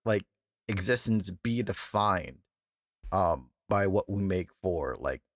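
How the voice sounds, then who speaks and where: A man's voice: low-pitched, animated, takes it slowly, dry recording, clean studio sound. A man from Atlanta hosting a podcast interview, talking in an easygoing way with many fillers and easy laughs. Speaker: podcast host. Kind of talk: casual interview chat.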